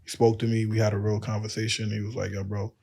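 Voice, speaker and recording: A man with a deep voice and a lisp, telling loose stories on a casual podcast and acting out quoted lines. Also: completely flat delivery, fast, gravelly, dark tone. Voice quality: says he has a lisp. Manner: loose storytelling, acts out quotes, laughs often. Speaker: podcast cohost.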